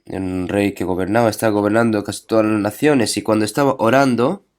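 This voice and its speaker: A man's voice: fairly low pitch, fast talker, a little mellow. A man preaching a sermon. He reads scripture aloud, asks his listeners rhetorical questions, and keeps checking in with them through short tag questions.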